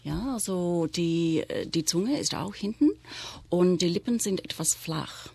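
A middle-aged Australian woman from New South Wales, a teacher whose first language is English, explaining pronunciation in German in a casual podcast chat and demonstrating sounds slowly. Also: fairly low pitch, vocal fry, a little mellow, husky, no echo. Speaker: co-host, teacher.

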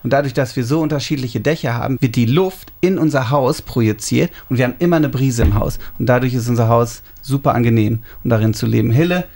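A man's voice: medium pitch, darkish tone. German man in his thirties explaining. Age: 30s. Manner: explanatory, unhurried, describing details. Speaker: interviewee, homeowner. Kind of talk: casual interview answers.

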